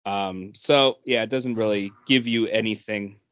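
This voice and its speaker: A man's voice: fairly low pitch, fairly even intonation, unhurried, fairly bright tone, clear, resonant tone, no echo, highs cut off. A man co-hosting a podcast, explaining things casually and sometimes reading aloud. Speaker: podcast co-host.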